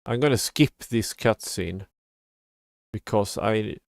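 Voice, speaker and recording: A man's voice: on the low side, slow, gravelly, no echo, studio quality. A man giving casual live game commentary as a streamer, muttering in a mildly exasperated way. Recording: game music behind the voice. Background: video game music.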